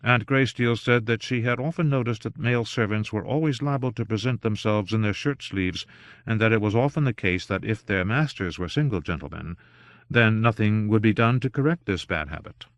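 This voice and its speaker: A man narrating an unabridged novel aloud in a measured, even storytelling manner, with only light touches of voicing for the characters. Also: fairly low pitch, dry recording, clean studio sound. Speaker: audiobook narrator.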